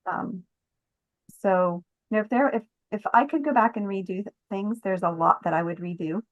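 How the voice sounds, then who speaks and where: A woman's voice: mid-range voice, moderate pace. A middle-aged woman giving personal testimony as a podcast guest in conversation. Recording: muffled, slightly echoey room sound.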